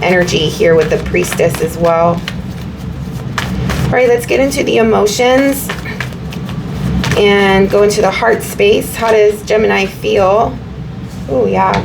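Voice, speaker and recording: A woman giving a casual tarot reading to her viewers, slightly hoarse, talking in a meandering way with many fillers and repeats. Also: mid-range voice, background noise. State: slightly hoarse, recovering from illness. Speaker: tarot reader.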